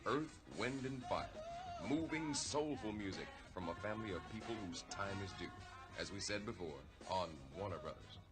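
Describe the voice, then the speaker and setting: A man reading scripted 1970s radio record advertising in measured, dramatic fragments. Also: fairly low pitch, animated. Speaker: advertising announcer. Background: loud music.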